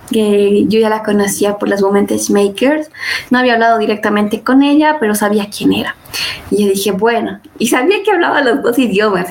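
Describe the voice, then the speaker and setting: A young woman, a podcast guest, chatting casually and retelling events with many fillers and quoted asides. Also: mid-range voice. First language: Spanish. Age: young adult. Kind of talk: casual interview storytelling.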